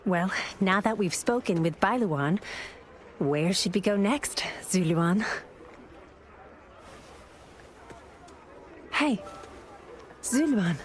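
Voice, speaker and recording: An actress playing a solemn, thoughtful young travelling companion in a video game. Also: female voice, medium pitch, lively intonation, slow, slight background noise. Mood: relieved, gentle. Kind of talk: video game dialogue acting.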